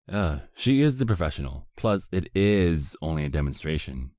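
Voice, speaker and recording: A man acts out a put-upon vampire husband in a comedic audio roleplay. The male voice is low and recorded close and dry. He complains with sardonic, hesitant asides. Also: expressive, a little slow, darkish tone, no echo. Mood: coaxing.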